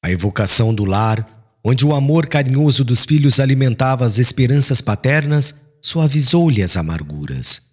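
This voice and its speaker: A man narrates a literary audiobook in a husky voice. His delivery is solemn and dramatic, with pitch that rises and falls expressively through each sentence. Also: lively intonation, moderate pace.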